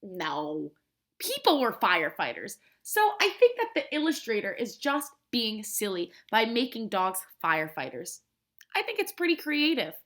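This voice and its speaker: An American woman, a preschool teacher, reading a picture book aloud in simple, expressive language and prompting young children with questions. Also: mid-range voice, moderate pace.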